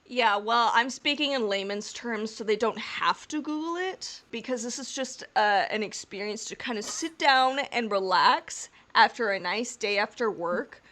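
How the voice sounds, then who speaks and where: Bright-voiced woman podcast co-host. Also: on the high side, measured pace, few pauses. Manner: laughing, joking, rambling. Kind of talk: casual chat and episode summary.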